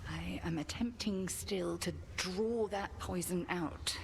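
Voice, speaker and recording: Low, creaky, solemn witch mentor. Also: a little hiss or hum. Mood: grave, guarded. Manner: grave, archaic, deliberate phrasing. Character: stern, solemn witch mentor, proud yet haunted. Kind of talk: video game voice acting.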